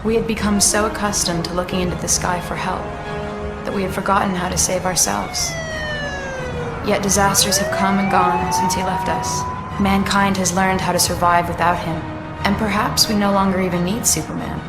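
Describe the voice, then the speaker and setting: Woman playing earnest solemn game narrator, measured, echoey w loud music. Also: medium pitch, very animated, natural voice. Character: solemn female narrator addressing a waiting world.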